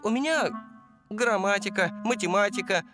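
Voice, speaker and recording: A woman voicing an eager, bumbling, kind-hearted teenage boy trainee in an animated series dub, excitable and stammering. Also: medium pitch, normal speed, lightly acted. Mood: defensive, earnest. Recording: music behind the voice.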